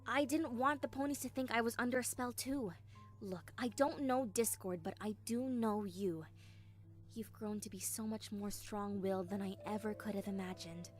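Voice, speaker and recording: Woman as sincere, caring cartoon pony, medium register, measured, faint music behind. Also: medium pitch, lightly acted. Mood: warm, reassuring. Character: earnest, caring female cartoon pony friend.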